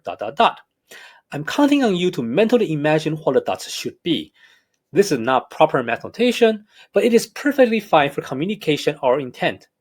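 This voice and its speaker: A man's voice: a little high, breathy edge. Hong Kong-accented man giving a math lecture. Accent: Hong Kong English. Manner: careful, explanatory, measured. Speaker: math professor. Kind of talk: recorded lecture narration.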